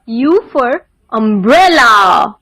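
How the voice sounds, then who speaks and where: A woman narrating a children's alphabet lesson in a deliberate sing-song manner, enunciating each word. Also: on the high side, very animated, bright, forceful tone, slight background noise.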